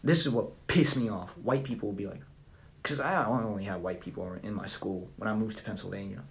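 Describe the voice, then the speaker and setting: Young southern guy going live from home on his phone, low gravelly voice, blunt and swearing a lot. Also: a little fast, rough recording. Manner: blunt, profane, rambling. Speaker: livestreamer. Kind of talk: casual live-stream chat reading comments.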